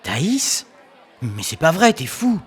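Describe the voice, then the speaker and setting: A man playing an anxious, cautious young sidekick in a French audio drama, excitable and protesting in slangy speech. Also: a little high, slow pace, slightly rough, some chatter behind, exaggerated voice. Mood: incredulous, worried.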